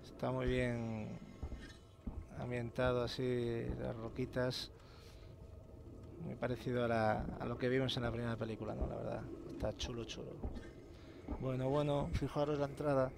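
A man from commentating a horror game, chatty and excited, swearing often. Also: medium pitch, normal speed, gravelly. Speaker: gamer. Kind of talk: let's play commentary. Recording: noisy game audio underneath. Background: game ambience.

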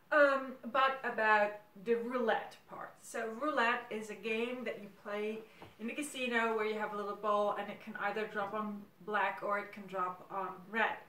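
A middle-aged woman, a native Dutch speaker, chats casually to camera as host of her own series. She feels her way through thoughts with frequent hesitation, in a mid-pitched voice. The sound is roomy, picked up from a few feet away. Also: sharp tone. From Netherlands. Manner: hesitant, thinking aloud. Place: small room at home, indoors. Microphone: camera several feet away. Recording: roomy, distant camera microphone.

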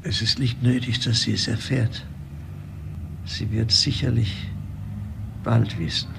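An actor playing a weary, dying father who keeps a secret, speaking in a halting, subdued way in a drama. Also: mid-range voice, steady tone, creaky. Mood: weary, resigned. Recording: noisy old drama soundtrack.

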